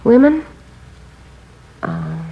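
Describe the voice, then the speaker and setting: A woman's voice: sits high, very animated, extremely slow, slightly rough, heavily acted. An American woman in her thirties acting an anxious, protective older sister and seamstress in a 1950s radio drama, hesitant and polite. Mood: worried, probing. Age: 30s. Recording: dull, noisy old radio recording.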